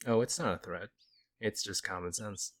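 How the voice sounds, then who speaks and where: A man's voice: on the low side, normal speed, fairly bright tone, lightly acted. A man playing a friendly, teasing, protective werewolf in an ASMR audio roleplay, talking casually and playfully to the listener. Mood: reassuring, protective.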